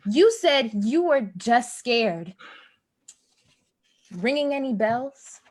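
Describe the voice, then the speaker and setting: A woman acting an anxious, hurt neighbor in a play reading, speaking earnestly and insistently with halting moments. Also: a little high, expressive, full character voice. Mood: accusing, wounded. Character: hurt, pleading young woman neighbor, anxious, quietly reproachful. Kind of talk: staged play reading, naturalistic dialogue.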